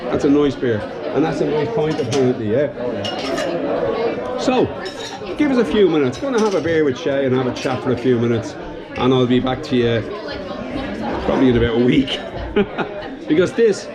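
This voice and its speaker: A man with a Dublin Irish accent giving a casual, enthusiastic walking tour of his city for a vlog, often tagging phrases with "yeah". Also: mid-range voice. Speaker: vlogger, tour guide. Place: busy outdoor city shopping street. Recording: outdoor street with crowd chatter.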